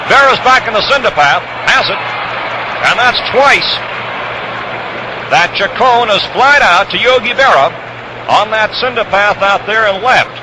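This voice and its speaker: A man calling baseball play-by-play for 1960s radio in measured, descriptive phrases. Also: sits high, animated. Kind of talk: 1960s radio sports commentary. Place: outdoor ballpark broadcast position. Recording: noisy vintage radio broadcast, ballpark outdoors.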